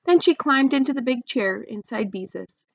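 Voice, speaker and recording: A woman's voice: fairly high pitch, lively intonation, normal speed, creaky, no echo, highs cut off. A woman reading a children's story aloud expressively, with chatty asides to young listeners.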